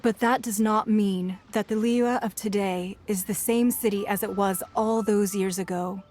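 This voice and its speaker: Actress doing shrewd elegant businesswoman ruler, fantasy game, measured n formal, some music behind. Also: mid-range voice, steady tone, darkish tone, close to an everyday voice. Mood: composed, diplomatic. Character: poised, shrewd businesswoman leader of a fantasy city. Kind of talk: scripted fantasy game dialogue. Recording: music under the voice.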